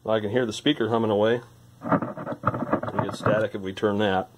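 A man with a fairly low voice talks through hands-on repair work at an indoor workbench. He sounds like a hobbyist, informal and musing aloud as he goes. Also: steady tone, normal speed, very noisy. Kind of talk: casual hands-on repair commentary.

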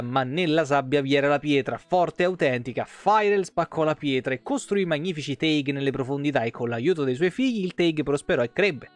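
A man in his thirties with a slightly high voice streams a game. He reads the lore aloud and mixes in casual, irreverent commentary. Soft music plays behind him. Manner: irreverent, foul-mouthed asides. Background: background music.

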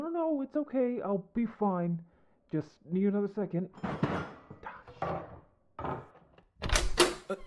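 A man playing an awkward, gentle young male zombie in an intimate roleplay audio drama, stammering and hesitant. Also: high-pitched, full character voice. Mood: flustered, panicky.

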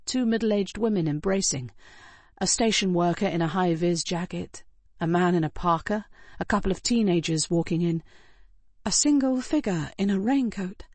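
Clear, low-pitched woman narrating fiction. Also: no room sound. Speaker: audiobook narrator. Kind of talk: scripted first-person fiction narration.

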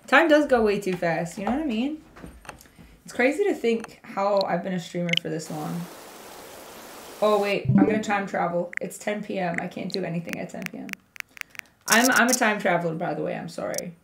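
A woman's voice: mid-range voice, noisy background. Young woman streamer, sore voice. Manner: chatty, playful, talks to chat. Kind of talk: casual live-stream chat while gaming. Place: home streaming room. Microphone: desk mic set back.

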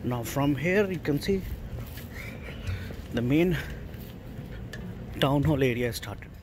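A man giving casual, halting walking tour commentary as a travel vlogger, in simple phrases. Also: a little high, expressive, noisy background. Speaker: travel vlogger guiding a town walk. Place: outdoors on town centre streets.

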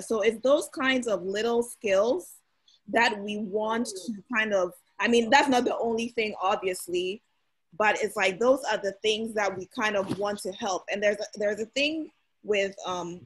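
A young woman from Ghana hosting an online meeting in a relaxed, chatty way with many fillers, heard over a video call. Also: mid-range voice, unhurried, slightly rough, poor audio. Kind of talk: casual meeting talk.

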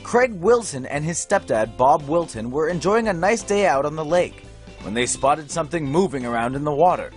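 A man's voice: fairly high pitch, expressive, normal speed, soft background music. A man reading scripted narration for light news stories in a playful, storytelling way.

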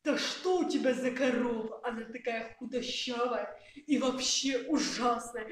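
A woman's voice: fairly high pitch, fairly even intonation, unhurried, close to an everyday voice. A woman voicing an offhand, blunt male butcher in an amateur puppet play. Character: casual, refusing male butcher. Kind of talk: amateur puppet theatre acting. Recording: very echoey room, distant mic.

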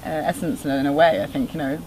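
A woman's voice: sits low, steady tone, rapid-fire, crowd noise, rough recording. A woman from England, chatting casually as an interviewee in a group.

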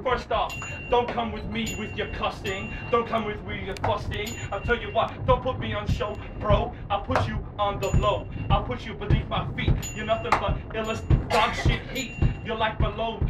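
A man's voice: high voice, little pitch movement, normal speed, few pauses. A young man freestyle rapping in a train carriage, throwing rhythmic, taunting rhymes at his opponent, caught from a distance on a handheld camera. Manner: rhythmic, taunting, rhyming boasts. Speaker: freestyle rapper. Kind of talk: freestyle rap battle. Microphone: handheld camera filming from a distance. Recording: distant camera mic, loud music behind.

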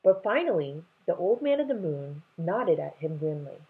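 Husky, animated young woman reading aloud. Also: on the low side, moderate pace, narrow, tinny audio. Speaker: read-aloud storyteller. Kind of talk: expressive reading of a children's novel. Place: room at home, indoors.

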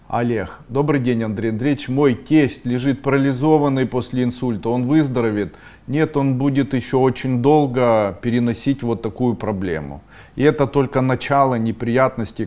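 A middle-aged man hosting an esoteric webinar, reading viewers' questions aloud and answering them bluntly, sometimes scolding with sharp rising outbursts. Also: medium pitch, moderate pace, dull audio.

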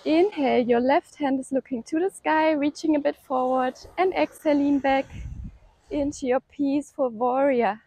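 A woman with a bright, high voice talks a class through a slow yoga flow, pacing each cue to the breath and cheering the listener along. The sound is close and dry, with no room around it. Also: no echo, low-quality recording. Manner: calm, encouraging cueing of breath and poses. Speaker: yoga instructor. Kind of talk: guided workout instruction.

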